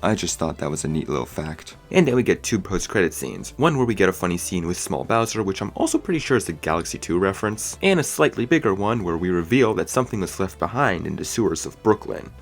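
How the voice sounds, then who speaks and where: Low-voiced man reviewing over music. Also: sing-song, fast, few pauses. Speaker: video reviewer and host. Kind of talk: opinionated film review commentary. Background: music under the voice.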